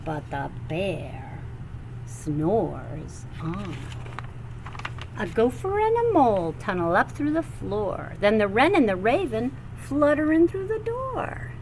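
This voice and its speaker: A woman reading a children's picture book aloud with animated, sing-song expression and drawn-out phrases. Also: medium pitch, slight background noise. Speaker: storybook reader.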